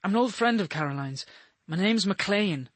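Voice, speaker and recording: An actor playing a smooth-talking, wheedling man in a radio drama. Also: high-pitched, animated, normal speed, fairly bright tone, dry recording. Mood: politely probing.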